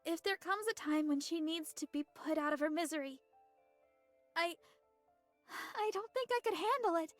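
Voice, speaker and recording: Game casting: soft-hearted childlike girl, extremely high pitch, hesitant delivery, light music underneath. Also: no room sound. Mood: evasive, distressed. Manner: hesitant, halting, apologetic. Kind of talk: scripted game voice acting.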